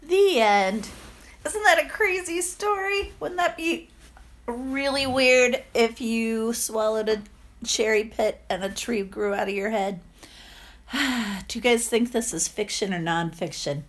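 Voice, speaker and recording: A woman narrates a children's tale as a teacher would, with expression. Her voice sits a little high and she takes her time over every phrase. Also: takes it slowly. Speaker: teacher reading aloud to children.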